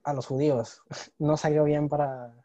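A man's voice: on the high side, level tone, moderate pace, warm tone, dry recording, muffled recording. A young man with a Mexican accent explaining things in casual conversation, often checking for agreement. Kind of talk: casual chat.

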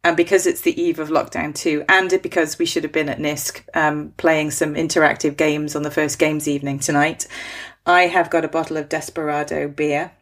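Brief: woman podcast host, casual and playful, fond of corny jokes, a touch low-pitched. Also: flat delivery, moderate pace. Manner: chatty, corny jokes, laughs easily. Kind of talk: casual conversational interview.

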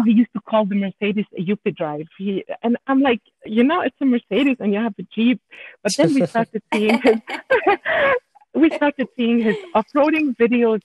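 French Canadian woman on a tinny line. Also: medium pitch. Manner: halting, chatty, with many restarts. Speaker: guest. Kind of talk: casual podcast chat. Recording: tinny phone-line audio.

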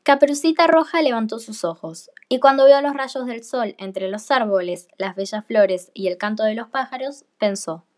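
Female storybook narrator, slightly high voice, scripted radio play delivery. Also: moderate pace, hardly any pauses, darkish tone, slight background noise.

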